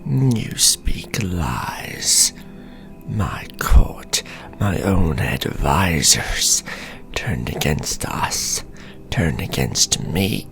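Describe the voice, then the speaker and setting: A man playing a haughty, centuries-old vampire lord in an ASMR fantasy roleplay, speaking with pompous, deliberate contempt. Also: low voice, sing-song, vocal fry, a bit bright, faint music behind, exaggerated voice. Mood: bitter, angry.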